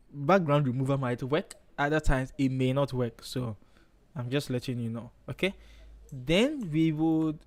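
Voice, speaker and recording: A man's voice: medium pitch, a little mellow. Chatty Ghanaian man hosting a livestream. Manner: chatty, thinks aloud, frequent okays. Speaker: livestream host, designer. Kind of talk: casual live design tutorial.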